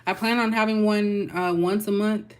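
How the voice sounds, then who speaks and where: A woman's voice: mid-range voice, steady tone, normal speed, a little mellow, a little hiss or hum. A young woman with a Texas accent giving a casual, chatty tarot reading and talking loosely to her viewers. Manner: chatty, loose, folksy with y'all. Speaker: tarot reader.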